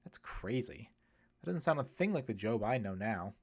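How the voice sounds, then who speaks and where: A man's voice: lowish voice, big pitch swings, normal speed, breathy edge, heavily acted. A man voicing a curious, moody teenage girl in an audiobook, with a direct, questioning manner. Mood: incredulous. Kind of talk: audiobook character dialogue.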